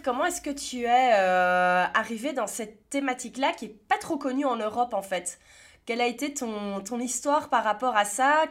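A woman's voice: a little high, a bit bright. A woman hosting a casual interview, asking the guest rambling, encouraging questions. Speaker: podcast host.

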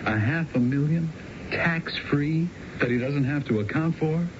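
An actor playing a cocky, smooth-talking small-time crook with breezy streetwise slang in a radio drama. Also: male voice, a little high, unhurried, close to an everyday voice. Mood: cocky, scheming. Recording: muffled, very noisy radio broadcast recording.

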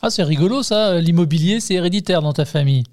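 A man's voice: fairly high pitch, lively intonation, fast talker, darkish tone. A man hosting a podcast, asking a young guest friendly questions about her career path. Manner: friendly, guiding questions, some fillers. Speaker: podcast host, interviewer. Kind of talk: hosted podcast interview.

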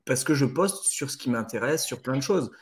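A man speaking as a guest on a live talk, relaxed and casual, often repeating words as he goes. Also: mid-range voice, normal speed, a little hiss or hum. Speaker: guest storyteller.

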